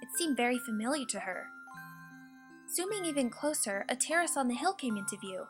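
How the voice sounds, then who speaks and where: A woman narrating a children's storybook with expressive, deliberate bedtime-story phrasing. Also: high-pitched. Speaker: storybook reader. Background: soft music.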